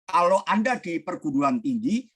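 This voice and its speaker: Husky-voiced man lecturing over a noisy call. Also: on the high side, sing-song, a bit bright. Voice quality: raspy, hoarse. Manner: slow, deliberate explaining. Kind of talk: online lecture to students. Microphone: computer mic on a video call.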